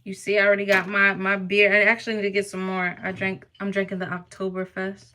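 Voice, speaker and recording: A bright, clear-voiced woman, talking loosely with her viewers and teasing them in a goofy, playful way. She sits close to her phone or webcam and laughs easily. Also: mid-range voice, monotone, fast. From New York (Harlem). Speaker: vlogger chatting with live viewers. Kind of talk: casual live-stream chat.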